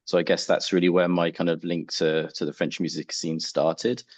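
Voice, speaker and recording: A man from England with an English accent introducing himself on a panel, polite and hesitant with many pauses. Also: sits low, level tone, dry recording. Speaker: panelist, music export professional. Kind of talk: conference panel talk.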